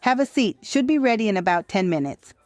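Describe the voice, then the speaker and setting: A woman's voice: mid-range voice, expressive, a little fast, no room sound, lightly acted. A middle-aged woman playing a teasing, motherly diner owner who drops Spanish endearments.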